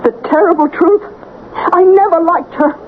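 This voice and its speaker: Casting: tormented wife, high voice, hesitant and dramatic, vintage radio drama with thin, narrow sound. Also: female voice, moderate pace. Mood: tormented, wavering. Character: distressed wife torn between conscience and money. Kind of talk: 1970s radio drama acting. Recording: tinny, noisy old radio broadcast.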